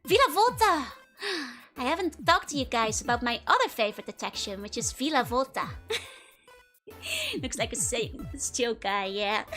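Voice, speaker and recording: A woman's voice: fairly high pitch, sing-song, measured pace, pauses often, pushed and bright, faint music behind. A woman with a Dutch accent, streaming and chatting casually with viewers, giggling often and rambling with lots of fillers. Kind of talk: casual chat to live viewers.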